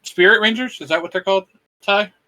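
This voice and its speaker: A man's voice: sits high, fast, pushed and bright. A man co-hosting a casual fan discussion, earnestly breaking down character matchups. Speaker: co-host.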